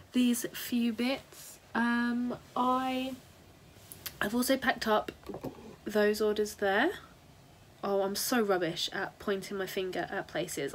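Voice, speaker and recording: A woman, chatting casually to camera in her home studio, her voice a little husky from a sore throat, with lots of ums and small laughs. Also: mid-range voice, a little hiss or hum, low-quality recording. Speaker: artist vlogger. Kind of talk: casual vlog chat to camera. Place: home studio room, indoors. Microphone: camera on the desk, close framing.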